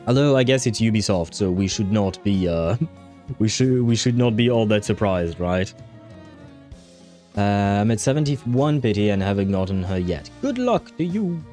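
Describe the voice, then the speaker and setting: A man hosting a casual livestream, talking in a rambling, halting way as he thinks aloud. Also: on the low side, lively intonation, mellow. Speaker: livestream host. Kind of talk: casual livestream chat over a game. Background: faint music.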